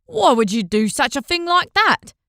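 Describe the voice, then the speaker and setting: A woman voicing a burly, greedy male fisherman in an audiobook, talking loudly and bluntly with threats. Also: medium pitch, a little slow, smooth, dry recording, studio quality, lightly acted. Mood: indignant. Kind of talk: audiobook character voice.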